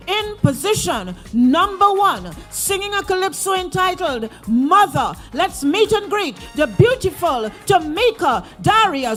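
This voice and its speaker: A woman with a Trinidadian accent hosting a live stage event, excitable and hyping up the audience. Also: high-pitched.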